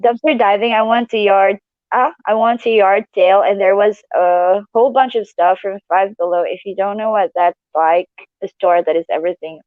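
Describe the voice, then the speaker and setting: A woman from Malaysia chats casually as a live stream co-host, giggly and talkative. Her voice sits at a medium pitch. The sound is dry but a little dull and lo-fi. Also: fairly even intonation, normal speed, dry recording. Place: living room at home.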